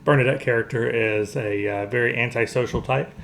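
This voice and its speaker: A man co-hosting a show, casually discussing movies in a halting, hedging way as he explains things. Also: medium pitch, steady tone, creaky, fairly bright tone, a little hiss or hum. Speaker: podcast co-host.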